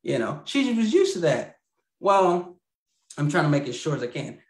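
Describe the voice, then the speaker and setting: Male guest, 30s, rambling storyteller, lots of fillers, slightly high voice, echoey video-call audio. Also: very animated, moderate pace. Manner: rambling, laughs mid-sentence, many fillers and restarts. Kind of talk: casual storytelling. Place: home room.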